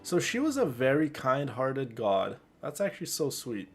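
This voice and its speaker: A man's voice: mid-range voice, sing-song, normal speed, soft background music. A man doing casual, rambling and emphatic live commentary as he plays a game, easily amused. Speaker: game streamer.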